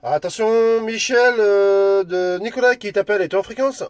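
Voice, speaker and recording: High-voiced man on CB radio. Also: moderate pace. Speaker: amateur CB radio operator. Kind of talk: radio calls and casual chat.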